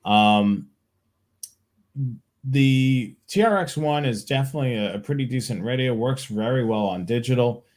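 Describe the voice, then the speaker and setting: A man's voice: mid-range voice, expressive, normal speed, a little mellow. A man hosting a live show, answering tech questions in an informal, plain-spoken way. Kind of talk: casual tech Q&A talk.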